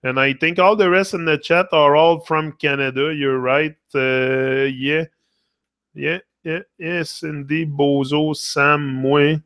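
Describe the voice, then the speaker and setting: A man's voice: fairly high pitch, fairly even intonation, takes it slowly, fairly bright tone, clean tone, dry recording, studio quality. A middle-aged man with a Québécois French accent, hosting a casual live stream, switching between French and English and rambling as he talks to viewers by name. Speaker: livestream host.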